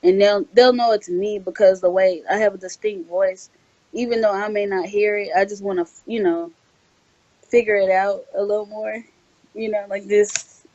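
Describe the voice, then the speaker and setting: A young woman from the American South, a voice actress answering in an interview, rambling and saying "like" a lot, sometimes monotone. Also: mid-range voice, normal speed, no room sound, dull audio. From Southern United States. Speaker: guest voice actress.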